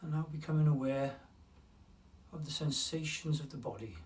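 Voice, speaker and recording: A man leading a guided mindfulness meditation in a calm, unhurried way, leaving long pauses between phrases. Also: fairly high pitch. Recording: distant mic with faint room noise.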